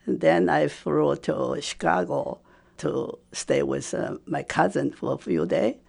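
A woman in her seventies from Taiwan, a Japanese speaker, recalling her life slowly and hesitantly with frequent fillers. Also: low voice, gravelly, a little mellow, husky. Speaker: interviewee. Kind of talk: personal recollection.

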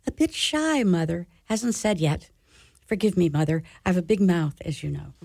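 A woman's voice: lowish voice, animated, gravelly, a little mellow, no echo. A woman playing a blunt, teasing nun with a style in a radio play. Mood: sheepish. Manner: dry teasing, wry drawn-out lines. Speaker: actor in a community radio play.

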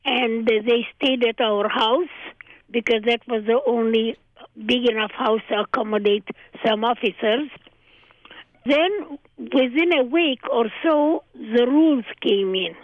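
An older woman from Transylvania, a native Hungarian speaker, recounting her childhood in an interview in measured, short phrases. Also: a little high, no room sound. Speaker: guest. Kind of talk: oral history interview. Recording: dry tinny phone line.

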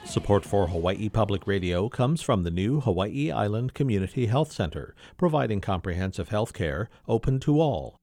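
A male announcer delivers a scripted sponsor credit. His low voice is dark and creaky, with lively intonation in a dry recording. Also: moderate pace, few pauses, faint music behind. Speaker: underwriting announcer.

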